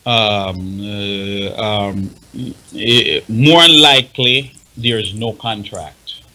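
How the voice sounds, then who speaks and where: A man's voice: fairly low pitch, very slow, bright, forceful tone. A man with a Jamaican accent on a talk panel, explaining and advising emphatically and repeating himself for stress. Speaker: radio panelist advising on music rights.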